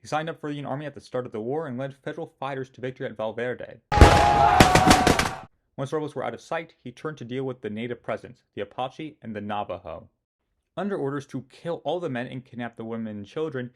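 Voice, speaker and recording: Opinionated male history narrator. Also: mid-range voice. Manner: opinionated, with self-mocking asides.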